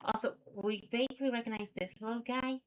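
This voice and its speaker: A woman's voice: a little high, fairly even intonation, fairly quick, a crowd in the background. A woman chatting casually as co-host of a webcomic readalong. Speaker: co-host reading a webcomic aloud.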